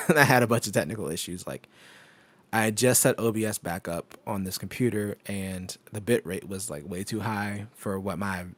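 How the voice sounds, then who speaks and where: A man with a low voice chatting casually on a home live stream, rambling with many fillers and frequent laughs, into a microphone on an arm. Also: normal speed, fairly bright tone. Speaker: tech streamer. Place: home desk setup. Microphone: microphone on an arm through an audio interface.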